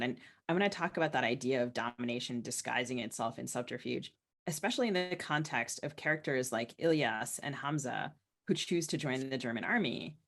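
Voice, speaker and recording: Mid-pitched man hosting a halting interview. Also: fairly bright tone. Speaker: podcast host. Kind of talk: interview questioning with quoted reading.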